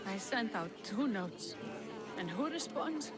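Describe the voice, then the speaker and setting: An actress playing an earnest young woman leading rebels in a game cutscene, soft and reflective. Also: mid-range voice, expressive, takes it slowly, over a noisy crowd. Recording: game cutscene with loud music behind.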